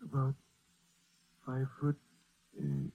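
A dying old shopkeeper in a western radio play. His voice is husky and faint, and he drags out each halting phrase, weary but stubborn. The sound is muffled, like a phone line. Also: mid-range voice, sing-song, heavily acted. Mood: exhausted, frustrated. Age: older. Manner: halting, labored speech with long pauses. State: weak, exhausted. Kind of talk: 1950s American western radio acting.

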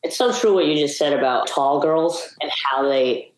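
Deep-voiced young woman in a roomy space. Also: moderate pace, slight background noise. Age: young adult. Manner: open, reflective storytelling. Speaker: guest. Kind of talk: casual interview chat.

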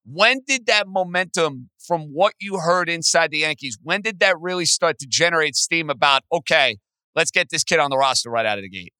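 A man talks sports as a podcast host in a booming, forceful, very bright voice. It sits high for a man, with emphatic, punchy phrasing. The sound is dry and close. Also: lively intonation, normal speed, smooth, no echo. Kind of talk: energetic sports commentary and interview.

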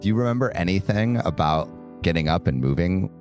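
Low-voiced male podcast host. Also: lively intonation, a little fast, a little mellow, faint music behind. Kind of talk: scripted narration and interview. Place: on a boat in a bay.

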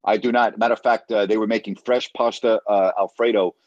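A man's voice: lowish voice, fairly even intonation, fairly quick, no room sound, highs cut off. A man chatting casually as a guest, emphatic and repeating himself for stress. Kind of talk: casual chat.

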